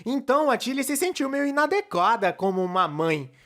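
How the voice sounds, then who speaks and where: Brazilian narrator, expressive and conversational. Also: mid-range voice, a little fast. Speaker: narrator of a cartoon opinion video. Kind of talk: scripted animated commentary video narration, fan dub.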